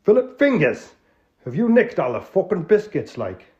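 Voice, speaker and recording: A man's voice: sits high, huge pitch swings, normal speed, dark tone, pitched above the performer's own voice. A man with a Geordie accent voicing a bossy male leader of a small-time crook club, chummy and swearing, in a dull lo-fi recording. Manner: chummy, swearing, calls everyone pet.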